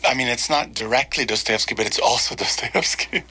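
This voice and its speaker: Male guest from Egypt, bright mid-range voice, reflective, earnest, deliberate conversational delivery. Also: mid-range voice, husky. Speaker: interview guest, writer. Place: small in-person studio.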